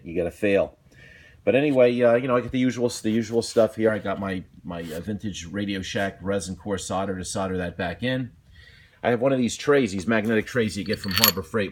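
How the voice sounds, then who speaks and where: Deep-voiced man rambling through a hobby walkthrough. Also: a little hiss or hum. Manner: rambling, self-deprecating, colloquial. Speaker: hobbyist presenter. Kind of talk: casual unscripted repair walkthrough to camera.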